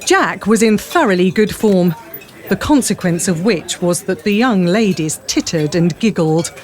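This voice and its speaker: A woman's voice: fairly low pitch, animated, measured pace, a little mellow. A woman reading scripted story narration as the narrator of an audio drama.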